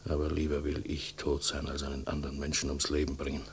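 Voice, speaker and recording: A man's voice: very deep voice, monotone, quick pace, slight background noise. An actor playing a resolute man in a remembered scene of a 1950s radio crime drama, with a reverberant effect. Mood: grave refusal. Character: resolute man refusing to kill, heard in recollection. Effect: echo with long reverb. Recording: reverberant, faintly humming old radio recording.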